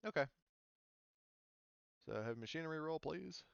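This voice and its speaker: A man's voice: fairly high pitch, lively intonation, normal speed, vocal fry, highs cut off, noisy background. A man acting as game master, casually thinking aloud as he talks players through rules and turns. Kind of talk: casual tabletop game narration and rules talk.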